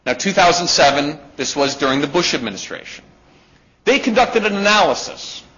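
A man with a loud voice giving a live talk to an audience, emphatic and peppering his points with "right?". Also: a little high, animated, normal speed. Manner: emphatic, with rhetorical "right?" checks. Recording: dull lo-fi recording.

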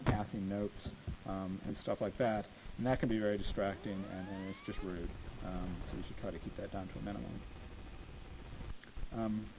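Man, low and plain podium lecturer, filler-heavy, tinny phone-like audio with faint hiss. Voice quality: low voice, not dynamic. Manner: unhurried, many ums, plainspoken. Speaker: lecturer on appellate oral argument. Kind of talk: informal talk to law students. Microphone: podium microphone.

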